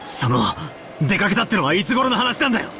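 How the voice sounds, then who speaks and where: An actor playing an excitable, anxious young man in a mystery game, blunt and emotional. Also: sits high, racing, music in the background. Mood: agitated, alarmed.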